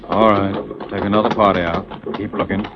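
An actor playing a hardened, determined Confederate captain, curt and commanding, in 1940s radio style. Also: male voice, on the low side, little pitch movement, moderate pace, highs cut off, close to an everyday voice. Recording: very noisy 1940s radio broadcast.

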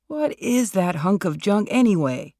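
A reader doing a gruff, mumbling, bossy older man. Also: sits high, animated, measured pace, warm tone, no echo, studio quality, pitched above the performer's own voice. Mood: gruff, dry. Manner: angry but controlled mumble. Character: heavy older male film legend, bossy, gruff, irritable.

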